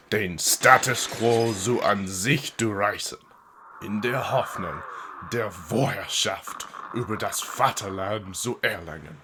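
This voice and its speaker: Guy playing a stern german corporal, slow and low, fanatical, bit of noise behind. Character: grim, fanatical German army corporal, authoritative. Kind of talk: dramatic audio drama acting in German.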